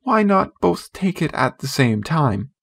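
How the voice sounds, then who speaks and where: Guy doing a keen little girl from a storybook, higher voice, earnest and helpful. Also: sing-song, a little slow, vocal fry, husky, exaggerated voice. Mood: bright. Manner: earnest, eager. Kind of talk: storybook character voice.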